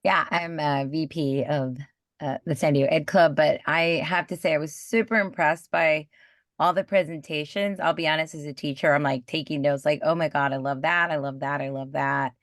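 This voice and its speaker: A woman's voice: deep voice, fairly even intonation, normal speed, dry recording, clean studio sound. A woman teacher and club vice president speaking at an online meeting, chatty and enthusiastic. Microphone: video call.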